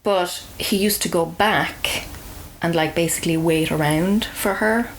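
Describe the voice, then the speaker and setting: A woman's voice: fairly low pitch, measured pace, slight background noise, poor audio. An Irish woman telling a personal story as a podcast guest, in a casual, wry and understated conversational way.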